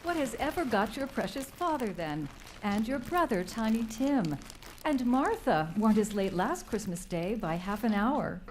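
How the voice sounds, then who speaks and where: A woman playing a warm, fussing working-class Victorian mother in a live radio drama, using old-fashioned dialect. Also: fairly high pitch, big pitch swings, a little slow, slight background noise. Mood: affectionate, fussing. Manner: homely, affectionate, old-fashioned dialect speech.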